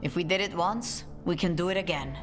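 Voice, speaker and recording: An actress playing a poised, sociable woman of an assassin guild in a video game, speaking in an elegant, measured way. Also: lowish voice, music in the background, close to an everyday voice. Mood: wistful, resolute. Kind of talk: video game cutscene dialogue.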